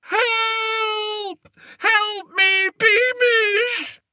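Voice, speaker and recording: A man voicing a cowardly, pompous king who wails and stammers in a high, shrill voice. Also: fairly even intonation, drawn-out words, sharp tone, no room sound, clean studio sound, full character voice. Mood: terrified. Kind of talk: audiobook character voice.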